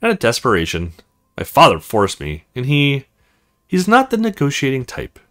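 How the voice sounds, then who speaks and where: A man's voice: mid-range voice, huge pitch swings, normal speed, fairly bright tone. A man reading the lines of a blunt, moody young woman at an academy in a visual novel, with emphatic delivery. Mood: bitter. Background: music.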